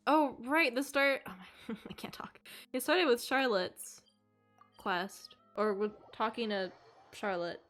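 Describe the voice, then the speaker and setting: A woman giving casual, playful livestream commentary on a game, with excitable reactions. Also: on the high side, unhurried, soft background music. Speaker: streamer playing a story game.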